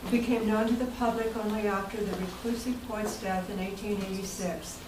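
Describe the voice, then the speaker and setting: A woman's voice: mid-range voice, steady tone, fairly quick. A woman giving a prepared literary reading aloud at a club meeting. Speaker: guest reader at club meeting. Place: indoor meeting room. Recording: echoey room, distant mic, background noise.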